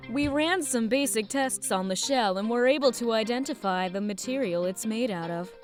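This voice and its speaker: A woman acting as an eccentric lab scientist in an audio drama. Her voice is fairly high, chatty and musing, tending to ramble excitably, with faint music underneath. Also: moderate pace, natural voice. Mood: intrigued, musing.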